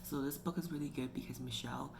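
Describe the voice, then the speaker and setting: A woman talks through a book review in a loose, chatty way, full of "like" and "you know." Her voice has a gravelly, creaky edge, and there's a clear layer of noise behind her. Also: very deep voice, steady tone, quick pace. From Canada. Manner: chatty, filler-heavy with frequent "like" and "you know". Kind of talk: casual book review talk. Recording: noticeable background noise.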